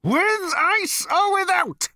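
Shrieking, fanatical officer. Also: male voice, huge pitch swings, moderate pace, sharp tone, breathy edge, no echo, clean studio sound, exaggerated voice. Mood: shrill furious. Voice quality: shrill squealing. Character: shrieking fanatical male officer, petty and furious.

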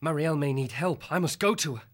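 A man's voice: on the high side, fast talker, pitched above the performer's own voice, natural voice. A man voicing an earnest, brave young male woodland hero in an audiobook. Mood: worried, determined. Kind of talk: audiobook character voice.

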